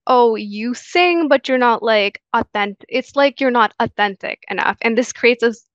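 A woman's voice: on the high side, normal speed, no room sound. A young woman from Guyana, a guest on a video call, answering earnestly with many fillers and restarts. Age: young adult. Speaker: interview guest. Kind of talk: casual interview answers. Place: small room indoors. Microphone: headset on video call. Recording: dull lo-fi video call audio.

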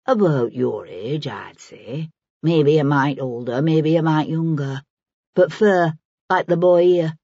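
Woman doing a husky old charwoman, blunt and shrewd, low voice, kinda dull audio, storybook. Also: slow pace, dark tone, dry recording, full character voice. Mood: thoughtful. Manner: blunt, scornful, folksy working-class speech.

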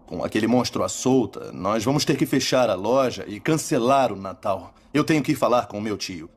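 A man's voice: fairly low pitch, lively intonation, brisk, breathy edge. An actor voicing a worried young man in a cartoon dub, earnest and anxious. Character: worried young man, the toy store owner's nephew.

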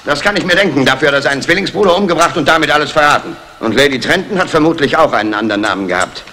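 A man's voice: fairly high pitch, animated, racing, pushed and bright. A man playing an authoritative Scotland Yard inspector giving clipped orders in a 1960s radio crime drama. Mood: commanding. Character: brisk, commanding male Scotland Yard inspector.

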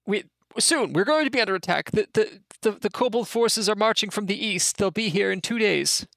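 A man's voice: sits high, lively intonation, brisk, vocal fry, a bit bright, no room sound, pitched above the performer's own voice, full character voice. A man voicing a fawning male goblin scout who stammers out a report to his king. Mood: groveling.

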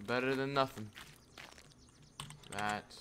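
A man giving live commentary over his own gameplay, talking to himself and shouting at enemies as things happen. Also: medium pitch, slow, noisy background. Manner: excitable, talks to himself and at enemies. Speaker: gaming YouTuber.

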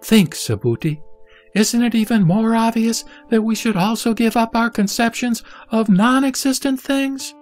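A man playing the Buddha, a solemn spiritual teacher, reciting scripture in a measured audio drama delivery. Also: very high-pitched, darkish tone, soft background music. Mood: solemn, instructive. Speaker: scripture reader.

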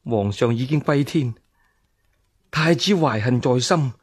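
A noble palace lady, acted out by a male storyteller, speaks Cantonese in fear and despair. The delivery is slow, anxious and halting, as in a radio drama. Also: mid-range voice, breathy edge, no room sound, clean studio sound. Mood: despairing, resolute.

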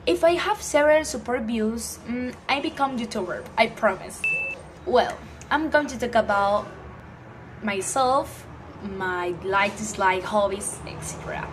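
A teenage girl with an Ecuadorian Spanish accent speaks in a fairly high voice, stumbling cheerfully through English that is not her first language. She talks to a phone held at arm's length in a small room, and a steady hiss of noise sits under the recording. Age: teens. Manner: halting, cheerful, searching for English words. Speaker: student vlogger. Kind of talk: casual self-introduction to camera. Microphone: phone front camera at arm's length.

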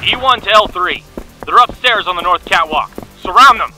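A man's voice: high-pitched, lively intonation, moderate pace, poor audio. A man acting an aggressive squad leader barking orders in an audio roleplay. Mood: forceful, urgent. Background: loud music, gunfire.

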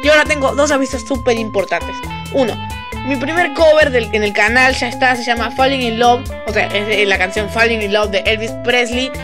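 A woman's voice: fairly high pitch, brisk, music in the background. A woman giving casual announcements to viewers as a channel creator.

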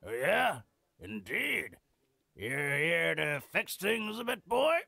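A scruffy old video game veteran with a very creaky, raspy voice. He is an irreverent, boozy freeloader who drags his words slowly and theatrically, swinging widely in pitch. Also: sing-song, pushed and bright, no room sound, clean studio sound, full character voice. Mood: amused. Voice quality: gravelly, raspy. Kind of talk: video game character acting.

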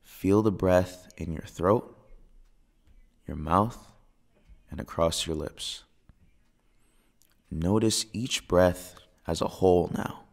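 Male meditation guide: deep creaky register, very unhurried, soothing, spacious phrasing for breathing exercises. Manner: soothing, gentle, measured delivery with pauses.

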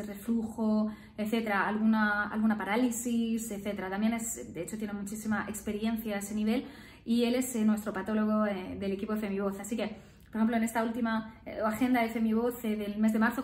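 Woman in her 30s chatting to camera, lots of fillers, slightly high voice, echoey room. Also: a little mellow. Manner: chatty, many fillers and tag questions. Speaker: speech therapist. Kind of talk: casual talk to camera. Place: room with painted geometric wall, indoors. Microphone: camera in front, upper body framing.

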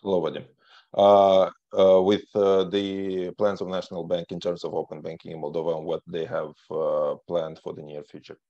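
A man moderating a webinar on a video call, haltingly searching for words as he hands over to speakers. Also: deep voice, flat delivery, dark tone, dry recording. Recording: slightly dull, dry video-call audio.

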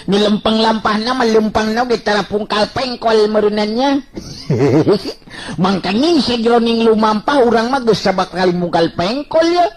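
A man voicing a cheeky young martial hero in a radio story, teasing and bantering excitably. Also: high voice, measured pace, pitched above the performer's own voice. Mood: amused teasing.

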